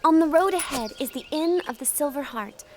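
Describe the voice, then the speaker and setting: A woman playing a kind, shy young peasant goat girl with a gentle, polite manner in an audio drama. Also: high-pitched, lively intonation, fairly quick, background noise. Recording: music under dialogue.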